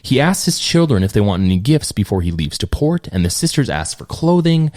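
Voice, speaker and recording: Man, podcast host, lively pitch, casual storytelling, joking asides. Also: a little fast. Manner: animated, retelling a fairy tale with asides.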